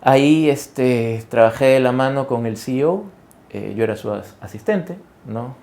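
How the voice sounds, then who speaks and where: A man from Ecuador in his forties, talking through his career in a relaxed interview, with lots of fillers, restarts and tag questions. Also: mid-range voice, unhurried, gravelly. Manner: conversational, many fillers and self-corrections, tag questions. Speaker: guest executive. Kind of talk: interview conversation.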